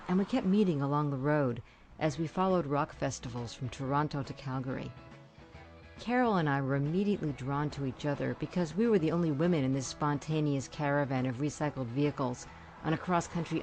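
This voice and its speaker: A woman's voice: deep voice, a little mellow. An older woman with an American accent narrates a scripted personal story as a radio host. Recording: guitar music behind voice. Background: guitar music.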